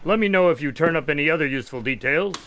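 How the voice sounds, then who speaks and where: An actor playing a loyal, practical doctor sidekick in a radio drama, proper and mildly exasperated in manner. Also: male voice, fairly high pitch, animated, fast, background noise, close to an everyday voice. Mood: businesslike.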